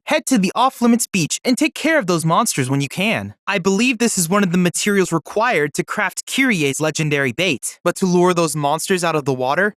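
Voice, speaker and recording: A man's voice: high voice, a bit bright, no echo, studio quality. A man voicing a polite, eager boy android researcher in a video game, speaking in formal, articulate, bookish sentences. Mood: helpful, eager. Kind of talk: scripted video game character dialogue.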